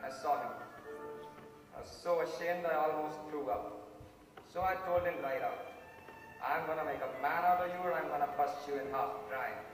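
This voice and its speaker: A man's voice: a little high, moderate pace, pauses often, music in the background, natural voice. Echoey young man playing a bitter Indian-accented father. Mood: bitter, wounded. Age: young adult. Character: bitter, hot-tempered middle-aged father on a jury. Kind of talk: amateur stage play acting. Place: large auditorium stage. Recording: echoey hall, distant camera, loud background sound.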